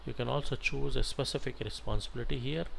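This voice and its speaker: A man teaching software as an instructor, talking through an on-screen tutorial step by step in halting, instructional phrases. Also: mid-range voice, steady tone, creaky. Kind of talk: screen-share tutorial narration, unscripted step-by-step. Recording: noticeable background noise.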